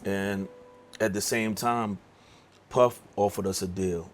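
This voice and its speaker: A man's voice: lowish voice, steady tone, takes it slowly, a little hiss or hum. A middle-aged man, a songwriter talking casually in an interview with many fillers and restarts. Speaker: songwriter guest.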